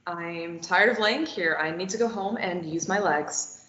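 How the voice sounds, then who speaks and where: Woman reading sardonic young captive, wry, probing, slow, echoey, muffled. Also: on the low side, fairly bright tone, a little hiss or hum. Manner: wry, teasing, probing questions. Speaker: table read actor. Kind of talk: play table read.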